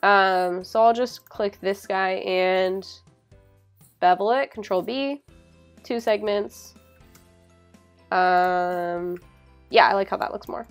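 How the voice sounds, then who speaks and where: Young woman doing a laid-back tutorial voiceover, says like a lot, medium pitch, music in the background. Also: slow pace, pauses often, smooth. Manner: informal, hedging with frequent "like". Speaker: tutorial presenter. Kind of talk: casual software tutorial narration.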